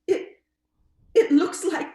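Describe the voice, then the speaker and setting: A woman's voice: slow, dark tone, roomy sound, lightly acted. A woman playing a fearful, agitated sister in an old-time radio ghost drama, anxious and emphatic. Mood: uneasy.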